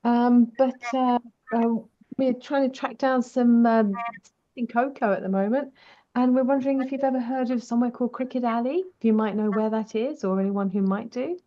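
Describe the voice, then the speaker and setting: A woman's voice: fairly high pitch, steady tone, normal speed, mellow. A woman playing a tabletop roleplaying game, speaking casually in character in a hesitant, polite way, on muffled audio.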